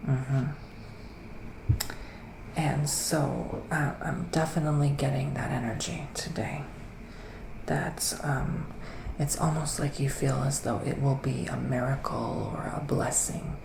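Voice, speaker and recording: Gentle male psychic witch, acted by a man, soft ASMR delivery drifting into whispers, gravelly fry, roomy noisy recording. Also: medium pitch. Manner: hesitant, drawn-out phrasing with pauses. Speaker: ASMR performer. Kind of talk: soft-spoken ASMR roleplay, partly whispered. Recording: roomy echo, clear background noise.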